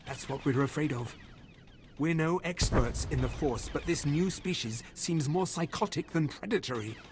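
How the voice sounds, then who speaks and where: A man's voice: a little high, normal speed, lightly acted. A man playing a worried, exasperated scientist in a video game, grave and pointed. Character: worried, exasperated male professor of xenozoology. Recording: noisy game ambience.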